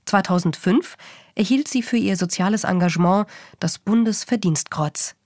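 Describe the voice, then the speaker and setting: A woman presenting a podcast in polished, measured scripted narration. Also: mid-range voice, a little mellow, no room sound, clean studio sound. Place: podcast studio, indoors.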